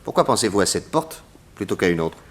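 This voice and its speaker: Brisk private detective, lowish voice, courteous, inquisitive, self-assured, 1960s French radio serial. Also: male voice, sing-song. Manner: polite, inquisitive.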